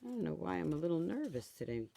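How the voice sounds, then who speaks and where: Chatty woman in her sixties, slightly hoarse. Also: fairly low pitch, very animated, fast talker, mellow. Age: 60s. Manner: chatty, tags instructions with "okay?". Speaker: stamping craft demonstrator. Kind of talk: live-streamed craft tutorial.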